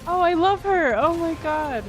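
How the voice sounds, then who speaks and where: A woman's voice: very high voice, animated, a little slow. A woman livestreaming a game with casual, playful, gushing commentary over game music. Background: video game music and dialogue.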